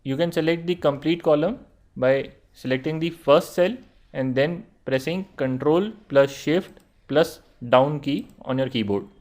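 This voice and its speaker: Man, Indian accent, young adult, course instructor, slightly high voice, steady tutorial narration. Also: measured pace. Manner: steady explanatory tone, addresses students directly. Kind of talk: screen-recorded tutorial narration.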